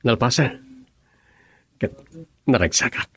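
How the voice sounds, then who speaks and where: A man's voice: mid-range voice, animated, takes it slowly, fairly bright tone, husky, heavily acted. A man playing an earnest young student in love in an Ilocano radio drama. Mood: affectionate.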